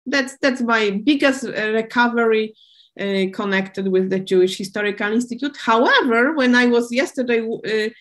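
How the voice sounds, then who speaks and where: A woman historian speaking English as a with many pauses, fillers and restarts, describing her archival work on a panel. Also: a little high, fairly bright tone. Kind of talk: panel discussion answer.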